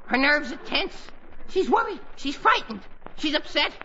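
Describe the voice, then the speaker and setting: An actor playing an excitable, melodramatic teenage boy, a goofy sidekick, in 1940s radio comedy style with exaggerated delivery. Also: extremely high pitch, moderate pace, exaggerated voice. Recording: noisy, muffled 1940s radio recording.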